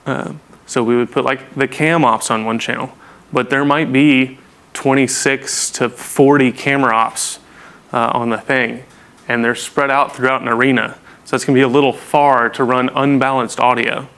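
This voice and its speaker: A man gives an informal training talk in a bright, clear voice. His pitch stays very level as he explains things casually in plain words. He sounds like a hands-on technical presenter walking learners through examples. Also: steady tone, normal speed.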